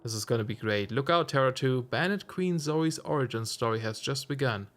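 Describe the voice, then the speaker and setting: A slightly hoarse man chatting casually while streaming a game, musing aloud with self-amused asides. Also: medium pitch, lively intonation, brisk, fairly bright tone, faint music behind. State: slightly hoarse, losing his voice. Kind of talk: casual let's-play commentary.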